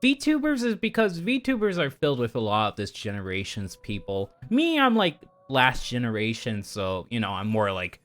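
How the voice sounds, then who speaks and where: A man's voice: fairly low pitch, fairly bright tone. A man livestreaming in a casual chat over a game, rambling through his opinions and often repeating himself. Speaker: VTuber livestreamer.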